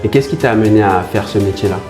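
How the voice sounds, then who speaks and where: A man's voice: on the low side, fast talker. A man hosting a conversational podcast, asking questions and giving short encouraging replies. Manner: attentive, short encouraging backchannels. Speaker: podcast host. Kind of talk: casual interview. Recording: roomy echo with faint music.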